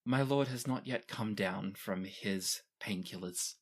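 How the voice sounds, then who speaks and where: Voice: low voice, unhurried, slightly rough, exaggerated voice. A game master voicing a polite, bookish female attendant in a formal, deferential manner. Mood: discreet, composed.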